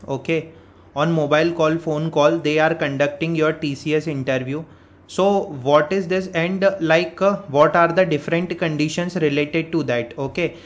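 An Indian-accented man with a fairly high-pitched voice presents an informal update for job candidates. He explains step by step, often restating a point and checking in as he goes. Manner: repetitive, step-by-step explaining with frequent check words. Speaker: career coaching presenter. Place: voice-over on a screen recording.

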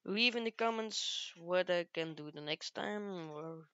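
Casting note: teen girl, low and unhurried, halting English, smooth dry close-mic sound. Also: sharp tone, dry recording, muffled recording. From Belgium. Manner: halting, searches for English words. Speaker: gaming youtuber. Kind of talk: casual gameplay commentary.

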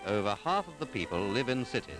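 Man, middle pitch, animated intonation, formal and articulate, 1940s documentary narration, old film soundtrack. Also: fast, over loud music.

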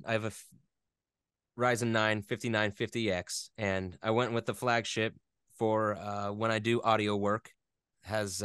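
Low, smooth-voiced man chatting casually. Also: a bit bright, dry recording, clean studio sound. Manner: rambling and hesitant, geeky enthusiasm. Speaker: interview guest, voice actor. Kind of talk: casual chat.

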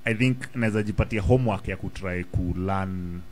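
A man in his thirties with a Kenyan accent, talking as a guest rapper in a casual radio studio interview. He speaks in an animated way, often restarting his sentences, close to a studio mic on a boom arm in a small room. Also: moderate pace, a little hiss or hum. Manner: animated, mixes English and Swahili slang, many restarts.

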